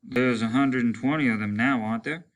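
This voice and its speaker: A man's voice: medium pitch, brisk, lightly acted. A man voicing a terse, weary male lieutenant in a science fiction story, with a clipped, matter-of-fact delivery. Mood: grumbling.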